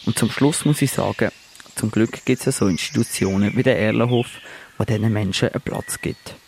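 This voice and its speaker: A man's voice: lowish voice, fairly quick, darkish tone, slight background noise. Reflective male radio reporter outdoors. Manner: reflective, descriptive. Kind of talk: first-person reportage narration. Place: outdoors on a farm. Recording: clear outdoor birdsong behind.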